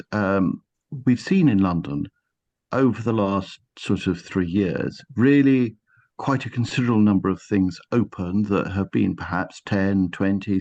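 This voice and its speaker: A man speaking on a discussion panel in a measured, slightly hesitant way. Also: lowish voice, a little mellow, dull audio.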